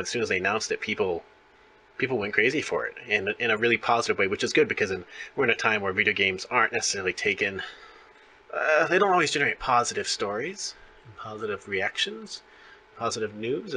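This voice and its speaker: A man's voice: lowish voice, pushed and bright, a little hiss or hum. A man interviewing in a casual, chatty and enthusiastic fan-to-fan way. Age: adult parent of young children.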